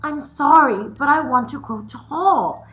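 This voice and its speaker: Woman playing a bright-voiced, pleading young boy. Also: sits high, slow, voice pushed up, low-quality recording. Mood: apologetic. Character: young boy narrator, earnest, guilty, pleading.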